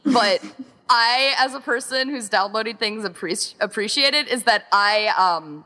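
A woman's voice: a little high, unhurried, sharp tone. A woman chatting casually as an interview guest, in an informal, gushing, emphatic way, with lots of filler "like". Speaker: podcast interview guest, event organizer. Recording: long reverberant echo.

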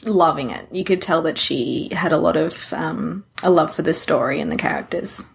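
A woman's voice: low-pitched, normal speed, creaky, a little hiss or hum. A woman co-hosting a podcast, talking in a casual conversational way. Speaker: podcast co-host.

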